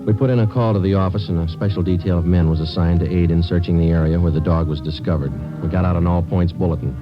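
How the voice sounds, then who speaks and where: Deep-voiced, deadpan American police sergeant played by a man. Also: fast talker, music in the background, close to an everyday voice. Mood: grim, matter-of-fact. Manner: clipped, deadpan, matter-of-fact. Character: terse, no-nonsense police sergeant and narrator. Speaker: narrator and lead detective. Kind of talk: 1940s-50s radio police drama, scripted narration. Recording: dull vintage radio recording.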